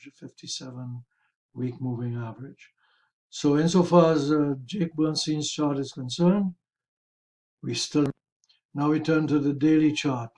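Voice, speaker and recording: A man hosting a market review, explaining charts in a measured, careful way with a slightly raspy, hoarse voice. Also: a little high, warm tone, low-quality recording. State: hoarse, throat-clearing. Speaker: host of a stock market review.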